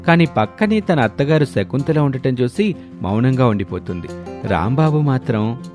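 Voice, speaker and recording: A man's voice: medium pitch, expressive, normal speed, a little mellow, faint music behind. A man narrating a scripted moral story as a storyteller.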